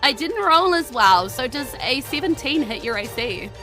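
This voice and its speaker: A woman's voice: high voice, very animated, normal speed, sharp tone, soft background music. A woman with a New Zealand accent running a tabletop fantasy game, narrating informally and playfully with vivid storytelling and casual banter.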